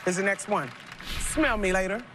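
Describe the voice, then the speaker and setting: A woman in her thirties hosting a TV game show, playful and quick with slang and jokes. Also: medium pitch, a loud crowd. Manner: playful, lively, Southern-tinged slang like y'all. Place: large studio set of a supermarket. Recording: TV broadcast over loud music. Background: loud game show music.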